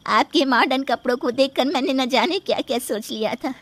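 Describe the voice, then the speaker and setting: Woman voicing plaintive older mother-in-law, cartoon story, high voice, dry sound. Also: brisk, a bit bright, no room sound. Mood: contrite. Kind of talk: animated cartoon story acting.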